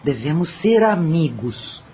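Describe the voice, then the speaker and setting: A man's voice: a little high, big pitch swings, takes it slowly, warm tone, slight background noise, exaggerated voice. A man playing an earnest, friendly fellow spirit in an audio drama, speaking in a confiding way.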